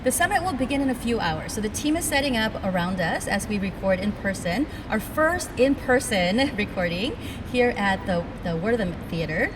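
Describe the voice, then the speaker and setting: A woman's voice: mid-range voice, expressive, normal speed, few pauses, background noise. A woman hosting an education podcast, reading an upbeat introduction and chatting with guests in a theater venue. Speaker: podcast host. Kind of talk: scripted podcast intro then casual interview. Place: theater venue with crew setting up.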